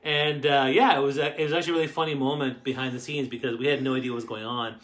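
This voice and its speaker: A middle-aged Canadian man chats to camera as he hosts a family show. His voice is bright and forceful. He tells personal stories in a halting, rambling way, circling back as he goes. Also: medium pitch, steady tone. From Canadian Maritimes. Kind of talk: casual personal storytelling to camera.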